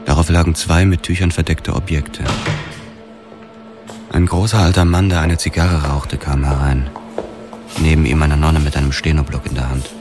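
Detective narrator, radio play, bottom-low pitch, understated, measured, sober, music underneath. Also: male voice. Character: hardboiled male detective narrating his own story, sober. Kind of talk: scripted first-person radio drama narration.

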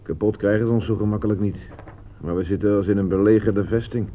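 A man's voice: on the low side, steady tone, fast, close to an everyday voice. A man playing a weary, brooding German soldier at a field telephone in a Dutch radio play. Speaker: actor. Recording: muffled old radio recording, loud hum.

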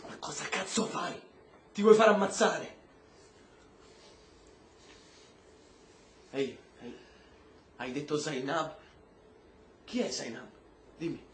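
A man's voice: fairly high pitch, expressive, unhurried, long pauses. A man acting a weary, streetwise prisoner in a radio drama, talking in a sardonic, conspiratorial way. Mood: urgent, hushed. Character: weary, streetwise British-Pakistani male prisoner, wryly curious. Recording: roomy echo with faint noise.

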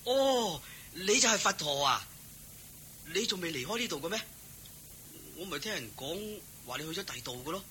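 Humble excitable cowherd servant, cantonese hong kong radio drama, higher sharp voice, bit of noise. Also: male voice, moderate pace. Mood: curious, eager. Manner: eager, deferential, exclamatory. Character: eager, humble, good-hearted male cowherd servant.

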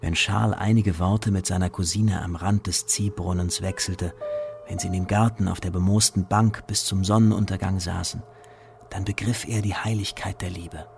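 A man's voice: deep voice, steady tone, normal speed, creaky, a little mellow, soft background music. A man reading scripted literary narration as a narrator in a radio drama.

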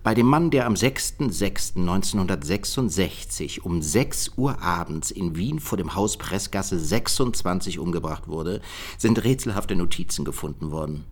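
An actor playing a matter-of-fact cold case detective in a radio drama, briefing a colleague in a measured way. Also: male voice, deep voice.

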